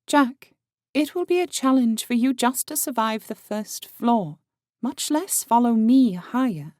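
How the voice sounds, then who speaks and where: A man's voice: lively intonation, a little slow, darkish tone, no echo, clean studio sound. A man voicing a blunt young woman adventurer in an audiobook, explaining things patiently. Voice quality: high, light voice. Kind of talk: audiobook character voice.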